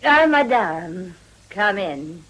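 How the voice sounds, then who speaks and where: Husky, worldly middle-aged madam. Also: female voice, mid-range voice, big pitch swings, a bit bright, exaggerated voice. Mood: smoothly courteous. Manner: unhurried, suave, courteous. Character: worldly, discreet older madam of a brothel. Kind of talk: period radio drama acting.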